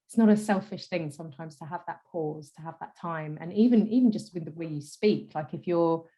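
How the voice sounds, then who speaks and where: A woman guest with a croaky voice, a communication coach in a conversational interview, thoughtful, using fillers, her sentence endings trailing off softly. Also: sits low, brisk.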